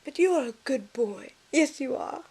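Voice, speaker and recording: A woman playing a gentle, shy, encouraging companion with a soft, coaxing manner in an amateur audio drama. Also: high voice, lively intonation, normal speed. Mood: affectionate.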